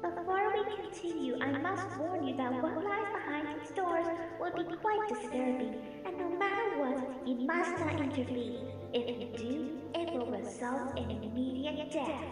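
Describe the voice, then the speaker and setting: A woman's voice: high-pitched, a little slow. A woman voicing a solemn young filly ghost who speaks formally as a spirit guide in a fan-made audio drama. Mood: solemn warning. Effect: long ghostly reverb. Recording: echoey reverb, distant, music behind.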